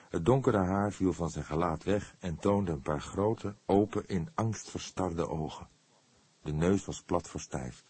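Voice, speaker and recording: A man's voice: low voice, a little mellow, slightly rough. A man reading a crime novel aloud as an audiobook narrator, in scripted storytelling style.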